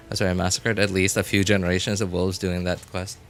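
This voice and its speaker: A man's voice: deep voice, flat delivery, normal speed, gravelly, a bit bright. A man doing casual live gameplay commentary, thinking aloud in short informal remarks and chatting with his viewers. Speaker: game livestreamer. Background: game music.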